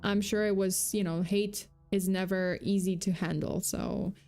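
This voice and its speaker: A young woman with a touch of a Polish accent talks through a true-crime story on a livestream. Her delivery is loose and chatty, packed with fillers, restarts and asides, at an ordinary middle pitch. Faint background music sits under her voice. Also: steady tone, a little slow, a little mellow. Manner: chatty, full of fillers and self-corrections, reads chat aloud. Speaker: VTuber host.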